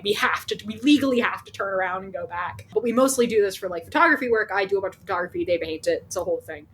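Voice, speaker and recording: A woman's voice: mid-range voice, a little hiss or hum. A woman chatting informally and playfully as a podcast co-host. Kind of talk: casual podcast chat.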